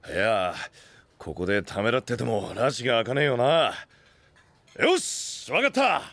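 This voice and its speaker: An actor playing a rough, hot-blooded, big-hearted young male knight leader with blunt slangy speech in an anime-style voice drama. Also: mid-range voice, sing-song, measured pace, fairly bright tone. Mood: rousing.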